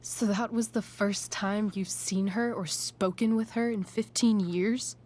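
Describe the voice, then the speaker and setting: A woman's voice: mid-range voice, fairly even intonation, measured pace, a little mellow, close to an everyday voice. A woman playing a wounded, searching teenage daughter in a video game drama, speaking in a hesitant, questioning way. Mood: shaken, questioning. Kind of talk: dramatic game cutscene acting.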